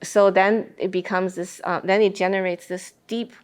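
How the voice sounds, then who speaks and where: A woman speaking as an interview guest in a thoughtful discussion, explaining her ideas with deliberate phrasing. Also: mid-range voice, fairly even intonation, darkish tone, clear, resonant tone.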